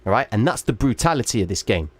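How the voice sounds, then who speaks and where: Man, livestream host, chart walkthrough, emphatic, going hoarse, dry close sound. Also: lowish voice, very animated, quick pace, no room sound, soft background music. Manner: emphatic, checks in with "all right?" often. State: losing his voice. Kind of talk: live chart walkthrough to viewers.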